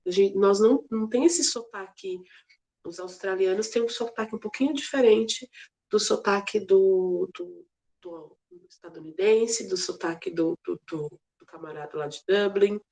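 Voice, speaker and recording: A woman's voice: medium pitch, normal speed, dark tone, poor audio. A woman teaching a casual geography class, chatty and playful, with many fillers and restarts. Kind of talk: casual online class lecture.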